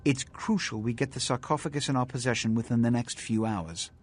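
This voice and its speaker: An actor playing a haughty, scheming vampire prince in a video game, speaking in a formal, commanding, theatrical way. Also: male voice, mid-range voice, a little fast, slight background noise, close to an everyday voice. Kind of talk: video game character acting.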